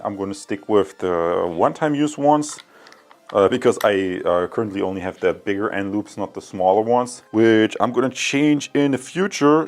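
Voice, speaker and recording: A man's voice: fairly low pitch, darkish tone. A man presenting a product review in casual talk to viewers, often hesitating and correcting himself. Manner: halting, with frequent hesitations and self-corrections.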